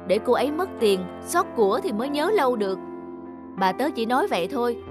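A woman's voice: fairly high pitch, very animated, brisk, music in the background. A woman voicing a stern but kind-hearted young businessman in a cartoon, speaking in a firm, lecturing way. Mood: explaining. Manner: firm, lecturing, matter-of-fact. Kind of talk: cartoon voice acting.

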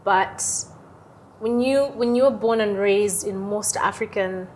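A young woman from Uganda, talking casually as an interview guest in an animated storytelling way, often checking in with "right?". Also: medium pitch, takes it slowly, slight background noise. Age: young adult. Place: airy lounge on a sofa, indoors.

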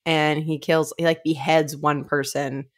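A woman in her thirties talks through a story in a casual, conversational way. Her voice sits a little low. She speaks into a close microphone as a co-host and sometimes acts out what characters say. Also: flat delivery, measured pace. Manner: informal, acting out characters' lines. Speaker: podcast co-host. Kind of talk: casual discussion of a novel. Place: small indoor room, remote video call. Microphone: close studio microphone.

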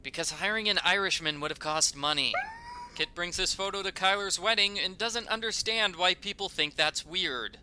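A man's voice: sits high, normal speed, sharp tone, slight background noise. A man delivering scripted, sarcastic, mock-indignant narration that nitpicks a video game. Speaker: video critic.

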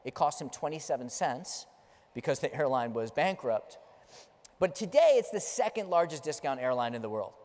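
A man's voice: a little high, very animated, normal speed, darkish tone. A middle-aged man giving a conference keynote, telling stories with emphasis as he gestures and moves around a large stage. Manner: emphatic, storytelling delivery, gesturing while pacing the stage. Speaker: keynote speaker. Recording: long reverb of a large hall.

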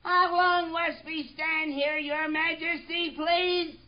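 A woman's voice: extremely high pitch, fairly even intonation, slow pace, dull audio. A woman voicing a small, humble, suffering male turtle who pleads meekly in a children's story. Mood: plaintive, pleading. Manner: meek, plaintive, groaning. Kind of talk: theatrical children's story acting.

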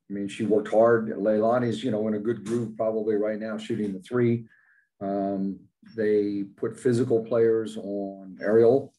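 Older male coach, matter-of-fact, low mellow tone, webcam press conference. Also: rough recording. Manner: plain-spoken, many fillers, matter-of-fact. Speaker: basketball head coach. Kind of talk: postgame press conference answers. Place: indoors in front of a logo backdrop. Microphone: video call, webcam at desk.

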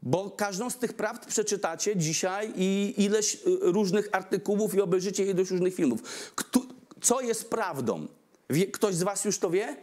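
A man's voice: high-pitched, normal speed. A man in his forties giving a Christmas sermon at a lectern mic in a church hall, gesturing and putting questions to the congregation. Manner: animated, asks the congregation questions, gestures broadly. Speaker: preacher. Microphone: stand mic at lectern.

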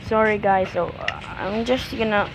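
A young person giving casual, halting gameplay commentary with repetitive phrasing as the host of a gaming video. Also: fairly even intonation. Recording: very noisy, windy rumbling microphone.

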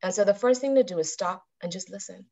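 A woman in her thirties giving a calm, measured talk to a club over a video call and putting questions to her listeners. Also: mid-range voice. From Bahamas. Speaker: club speaker. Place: indoors with a virtual background. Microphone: webcam on a video call. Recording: dull video-call audio.

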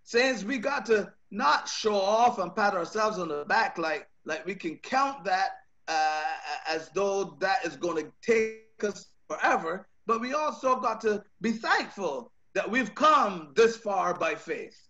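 A man's voice: high voice, expressive, a little slow, few pauses, sharp tone. A man with a Bajan accent, a pastor preaching a sermon over a video call, earnest, often repeating words as he builds his points. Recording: dull lo-fi video call audio.

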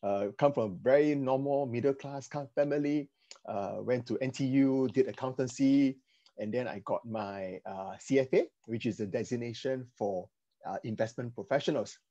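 A middle-aged man with a Singaporean accent, informally sharing personal stories in a halting, conversational slide presentation. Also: mid-range voice, mellow, muffled recording. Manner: conversational, halting, with "right" tags. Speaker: speaker sharing financial advice with a youth group.

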